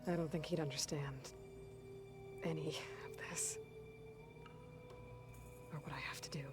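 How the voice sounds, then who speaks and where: A young woman warrior from a video game, speaking in a lowish voice over prominent music. She is guarded and introspective, thinking aloud with quiet resolve and a sense of burden. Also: steady tone, measured pace. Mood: quiet, reflective. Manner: earnest, introspective. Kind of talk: video game voice acting, musing to herself.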